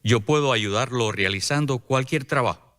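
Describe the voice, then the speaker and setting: Bright, forceful young penitent nobleman, acted by a man. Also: medium pitch, normal speed, natural voice. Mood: pleading, repentant. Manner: earnest, formal. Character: repentant young nobleman turned humble, eager helper. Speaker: radio drama actor. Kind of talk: radio drama acting.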